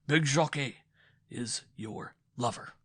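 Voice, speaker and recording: A man's voice: medium pitch, slow pace, no room sound, clean studio sound, voice pushed up. A man acting a raging jealous peasant husband. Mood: accusing. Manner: shouting one moment, hissing in a hoarse whisper the next. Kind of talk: audiobook dialogue reading.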